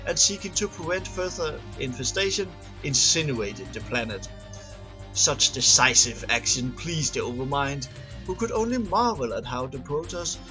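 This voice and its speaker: A man reading scripted science fiction lore aloud as an amateur narrator, a little halting on long words. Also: on the high side, fairly bright tone.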